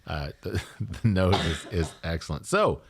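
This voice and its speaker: Deep-voiced lively man hosting. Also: a little slow, gravelly, background noise. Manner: lively, joking, hands the floor round. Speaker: podcast host. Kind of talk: casual tasting chat. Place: podcast studio.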